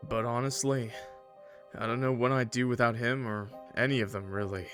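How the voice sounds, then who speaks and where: A man voicing a young boyband frontman in a visual novel, giving soft, earnest, showily sincere speeches. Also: medium pitch, fairly even intonation, moderate pace, soft background music, lightly acted. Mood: earnest, tender. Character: young male boyband frontman, showy sincere public charmer. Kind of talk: visual novel character reading.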